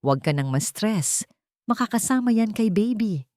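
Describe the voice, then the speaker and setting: A woman's voice: medium pitch, lively intonation, normal speed, dark tone, no room sound, studio quality, pitched above the performer's own voice, natural voice. A woman voicing a two-faced female best friend and secret mistress in an audio drama, dismissive and calculating. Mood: falsely soothing.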